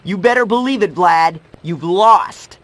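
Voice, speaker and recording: A man's voice: sits high, unhurried, a bit bright. An actor voicing a determined, earnest teenage boy hero in a cartoon video game. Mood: defiant.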